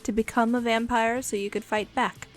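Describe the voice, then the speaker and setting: A woman voicing a bossy, world-weary but protective female vampire in an ASMR roleplay, speaking in a haughty, condescending, deliberate way. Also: fairly high pitch, level tone, faint music behind, close to an everyday voice. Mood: protective, commanding. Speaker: voice actor in an audio roleplay.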